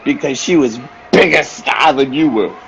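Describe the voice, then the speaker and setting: A man's voice: mid-range voice, moderate pace, fairly bright tone, muffled recording, a little hiss or hum, lightly acted. A man playing a heartbroken, accusing little circus man in a radio play, melodramatic and insistent.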